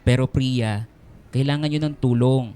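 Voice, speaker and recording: An actor playing an insecure, devoted young boyfriend in a radio drama, speaking earnestly and hesitantly. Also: male voice, medium pitch, fairly even intonation, moderate pace, mellow, clean tone, lightly acted. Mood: eager, concerned. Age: young adult. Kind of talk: Filipino radio drama dialogue. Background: background music.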